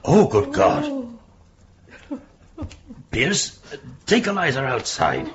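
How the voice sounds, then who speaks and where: An actor playing an authoritative Victorian gentleman taking charge with urgency in a radio drama. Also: on the high side, huge pitch swings, drawn-out words, exaggerated voice. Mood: horrified. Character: commanding Victorian gentleman in charge, stern and shocked. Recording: dull lo-fi audio with noise.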